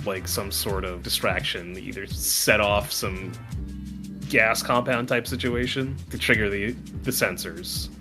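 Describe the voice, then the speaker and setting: A man acting as game master, casually recapping plans and explaining rules at a tabletop game. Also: on the low side.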